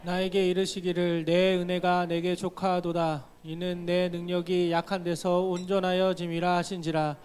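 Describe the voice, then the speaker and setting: A congregation reciting a scripture passage slowly in unison. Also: mid-range voice, little pitch movement, a little mellow, clear, resonant tone. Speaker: congregation reading aloud.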